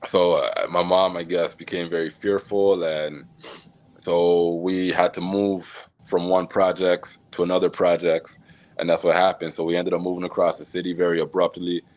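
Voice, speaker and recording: A man from Jamaica, a podcast guest, telling his life story in a relaxed, reflective way with many fillers and small laughs. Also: low voice, moderate pace. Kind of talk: casual storytelling interview.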